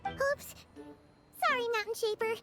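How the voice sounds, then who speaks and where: Squeaky, tiny fairy sidekick. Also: female voice, a little slow, over music. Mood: puzzled, sheepish. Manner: squeaky, refers to self in third person, expressive. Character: tiny childlike floating fairy companion, cheeky and excitable. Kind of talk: video game character acting.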